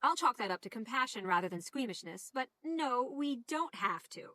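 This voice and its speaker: Bright, wry scheming creature. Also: female voice, fairly high pitch, expressive, normal speed. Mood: approving, wry. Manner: dry, sardonic. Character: confident, wry female Asura schemer, brisk and teasing. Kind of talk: video game voice acting.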